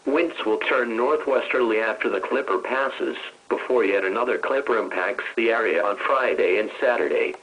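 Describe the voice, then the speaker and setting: A man's voice: medium pitch, moderate pace, few pauses, fairly bright tone, low-quality recording. Synthetic American male weather radio announcer. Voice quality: synthetic text-to-speech voice. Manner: impersonal, formal announcing. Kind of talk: scripted weather forecast reading. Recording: weather radio broadcast reception.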